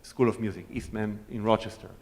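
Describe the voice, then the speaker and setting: A man's voice: on the low side, fairly even intonation, fairly quick, darkish tone. A man whose first language is Hebrew, a guest cellist answering audience questions in a friendly, explanatory way and demonstrating as he talks. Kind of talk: Q&A talk with a concert audience. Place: concert hall. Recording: echoey hall, voice from far off.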